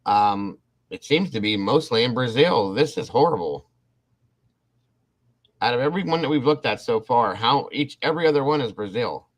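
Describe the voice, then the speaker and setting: A man's voice: mid-range voice, lively intonation, normal speed, low-quality recording. A man hosting a casual livestream, rambling and restarting his sentences as he talks to his viewers. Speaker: livestream host. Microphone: computer mic on a livestream.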